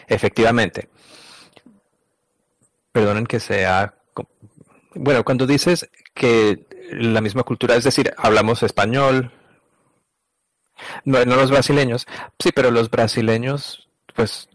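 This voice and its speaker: A man interpreting a conversation, speaking in a halting, stop-and-start way and often correcting himself mid-phrase. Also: lowish voice, dry recording, rough recording. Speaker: interpreter voicing a translated conversation.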